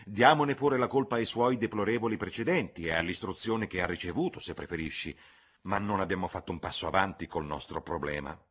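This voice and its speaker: A man's voice: low-pitched, fairly quick, a bit bright, breathy edge, voice pushed up. A man voicing a fussy, reproving older spinster chaperone in an audiobook reading. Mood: disapproving. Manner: mildly reproving, prim, insistent.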